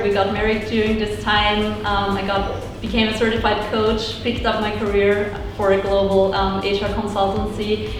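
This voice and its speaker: Flat-voiced Austrian-raised woman, distant echo. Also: medium pitch. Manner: reflective, repeats "kind of like". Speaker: guest, certified coach. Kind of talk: interview answers. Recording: echoey, distant mic with faint noise.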